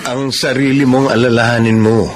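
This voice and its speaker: An actor with a Filipino accent playing an evasive husband and property agent in a radio drama, hesitant and stalling. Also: male voice, mid-range voice, flat delivery, normal speed, natural voice. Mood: defensive, casual. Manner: hesitant, stalling when questioned. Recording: background noise with ambience.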